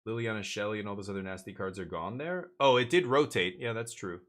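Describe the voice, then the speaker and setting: Blunt, bright-voiced Canadian man streaming. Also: fairly low pitch, fast. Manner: opinionated, blunt, swearing, repeats words when excited. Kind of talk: casual live-stream chat while gaming.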